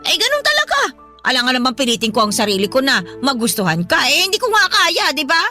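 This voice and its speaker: A hot-tempered Filipino grandmother in a radio drama. Her voice is very high and piercing, and she scolds bluntly and nags without softening. Faint music runs underneath. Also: wildly swooping pitch, fast, full character voice. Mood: hostile, exasperated. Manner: nagging, blunt, sharp-tongued.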